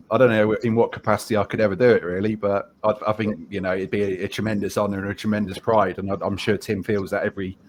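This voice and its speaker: A man's voice: low-pitched, a little hiss or hum. A man hosting a podcast conversationally, asking polite, rambling questions. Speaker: podcast host.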